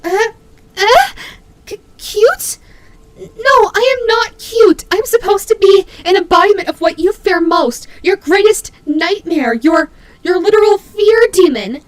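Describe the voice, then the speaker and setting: A high-pitched tsundere demon bunny, acted by a woman for an audio roleplay. She is proud, huffy and easily flustered, stammering her objections and drawing her words out very slowly. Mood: flustered indignation. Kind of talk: scripted audio roleplay acting.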